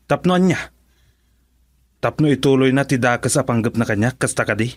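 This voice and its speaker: A man's voice: medium pitch, brisk, no room sound, lightly acted. A man playing a proud, defiant husband in an Ilocano radio drama. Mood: defiant, irritated.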